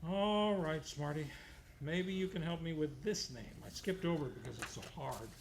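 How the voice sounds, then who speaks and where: Male series host for live old-time radio hour. Higher-set voice, scripted, theatrical, playful. Noisy theater recording. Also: normal speed, creaky. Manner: theatrical announcing, playfully comic. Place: theater stage before a live audience.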